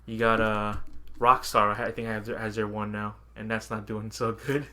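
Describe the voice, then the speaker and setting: A man's voice: on the low side, flat delivery, moderate pace, pushed and bright. A man co-hosting a podcast, chatting casually and informally about games and films, sometimes swearing or chuckling. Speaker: podcast co-host.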